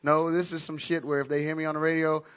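A man with an American accent telling casual, comedic stories in animated, profane slang as a guest comedian. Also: a little high, fast talker, clear, resonant tone, no echo.

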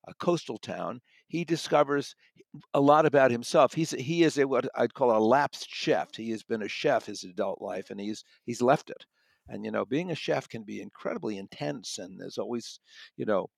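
Man, audiobook critic, creaky mid-pitched voice, halting conversational delivery, repeats for emphasis, close dry sound. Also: no echo. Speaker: book reviewer, audiobook critic guest. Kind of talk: conversational book review.

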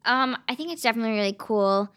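A woman's voice: a little high, lively intonation, fast, fairly bright tone, clean tone. A teenage actress chatting eagerly as an interview guest, filling her talk with "um" and "like". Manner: eager, chatty, many fillers like "um" and "like". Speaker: young stage actress, guest. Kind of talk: casual interview chat.

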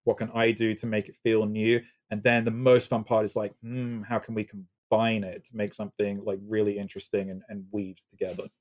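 A man's voice: lowish voice, level tone, a little fast, hardly any pauses, a little mellow. Male guest, faint British accent, chatty and enthusiastic, rambling answers. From Britain. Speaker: guest composer. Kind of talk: casual podcast interview.

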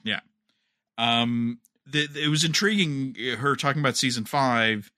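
A man's voice: medium pitch, measured pace, pushed and bright, no room sound, studio quality. A man co-hosting a podcast in casual chat, rambling a little and often repeating words as he goes. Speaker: podcast co-host. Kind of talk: casual chat about TV and fandom.

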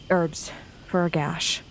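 A woman's voice: on the low side, slight background noise. Guarded, defiant outcast woman. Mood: curt, guarded. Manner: curt, suspicious. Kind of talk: fantasy audio drama acting. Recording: faint night insect sounds.

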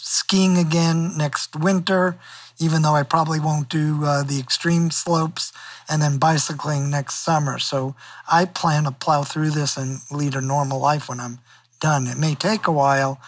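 A man's voice: a little high, level tone, measured pace, no room sound. Wispy-voiced man in his sixties. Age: 60s. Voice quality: wispy, weakened voice. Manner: upbeat, plain-spoken. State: recovering in hospital. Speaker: interview guest. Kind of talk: phone interview.

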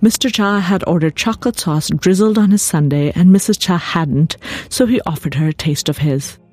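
A woman reading her own literary fiction aloud as an author, with expressive narration. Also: fairly low pitch, moderate pace. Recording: music bed behind the voice. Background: composed background music.

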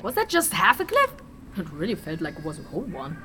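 A woman's voice: lowish voice, wildly swooping pitch, fast, sharp tone, faint music behind, exaggerated voice. A woman playing a cheeky, naive adventurer in a fantasy audio drama, with casual modern speech and playful sarcasm. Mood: baffled.

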